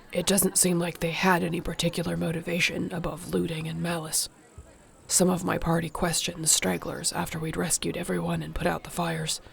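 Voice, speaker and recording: A woman playing a protective, devoted orc warrior girlfriend in an intimate audio roleplay, speaking softly, breathily and earnestly. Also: on the low side, vocal fry. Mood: relieved, calm.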